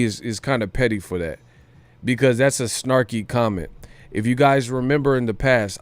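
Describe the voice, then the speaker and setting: A man's voice: mid-range voice, clean tone, dry recording. A man hosting a boxing news livestream, talking casually in slang with some swearing and repeating phrases for emphasis. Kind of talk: casual live-stream commentary.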